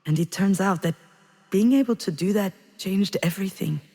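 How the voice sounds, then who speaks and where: An actress playing a grieving, reflective screenwriter who confides her story in measured, intimate first-person narration for a video game. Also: mid-range voice, warm tone, natural voice. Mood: quietly resolved. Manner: measured, introspective, pausing between phrases. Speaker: narrator of a visual novel.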